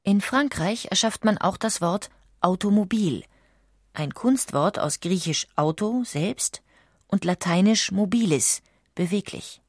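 A woman reading scripted documentary narration in a measured, articulate way. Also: medium pitch, animated, husky, no echo, studio quality.

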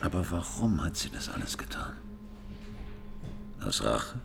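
Gruff kind older cop, radio drama, super deep croaky voice, bit of noise behind. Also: male voice, sing-song, a little slow, heavily acted. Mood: puzzled, concerned. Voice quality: very deep, gravelly. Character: gruff, kindly older male police partner. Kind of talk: radio crime drama acting.

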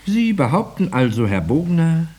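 An actor playing a condescending, clinical psychiatrist in a 1950s radio drama, dropping into drawn-out murmurs. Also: male voice, fairly high pitch, very animated, a little mellow, husky. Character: condescending male psychiatrist questioning his patient. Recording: noisy 1950s radio recording with clicks.

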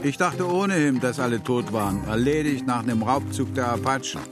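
Actor as bitter frontier guy raised comanche, mid-pitched, matter-of-fact, radio western with music behind. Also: brisk, natural voice. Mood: wounded, reflective. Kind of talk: German radio drama acting. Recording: music under the dialogue.

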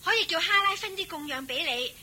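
Young girl in a radio play, super high bright voice, lively, little bit of hiss. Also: fast talker, heavily acted. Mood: cheerful, eager. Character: bright, helpful young daughter of the household. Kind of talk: Cantonese radio drama acting.

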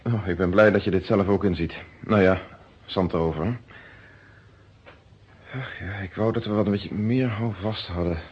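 A composed, principled male police inspector in an old radio play. His voice is a little husky and his phrasing is formal, friendly but firm. The recording is dull and band-limited. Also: sits low, steady tone. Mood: relieved, weary. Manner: measured, courteous, authoritative, formal wording. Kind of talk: old radio drama acting.

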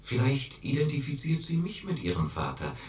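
A man's voice: mid-range voice, very animated, moderate pace, darkish tone, lightly acted. An actor playing a domineering group therapist in a radio drama, pressing and condescending as he questions. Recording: roomy, distant mic.

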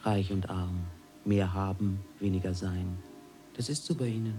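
An actor playing a grave, aloof alien philosopher in a German radio drama, making solemn pronouncements. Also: male voice, low-pitched, steady tone, unhurried, music in the background.

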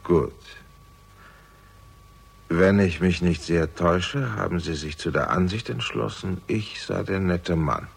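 An older patriarch of a crumbling house in a radio thriller. The voice is extremely low, creaky and husky, and he speaks in measured, formal phrases with quiet menace. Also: exaggerated voice. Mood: calm, probing. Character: older father, composed, menacing guardian of a decaying house. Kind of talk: German radio crime drama acting.

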